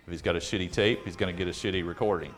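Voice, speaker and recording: A man's voice: low-pitched, steady tone, racing, soft background music. A man talking casually and plainly as he walks through repairing and testing a reel-to-reel deck. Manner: plainspoken, practical, slightly blunt. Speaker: vintage audio repair technician.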